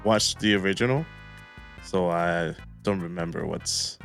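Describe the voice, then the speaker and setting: A man with a fairly low voice talks casually as a reaction show panelist. Faint music runs behind his voice. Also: unhurried, a bit bright. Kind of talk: casual chat.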